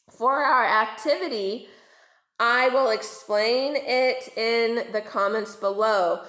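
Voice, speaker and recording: A woman reading a children's storybook aloud like a teacher, deliberate and expressive with dramatic emphasis. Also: a little high. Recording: roomy, echoing home recording.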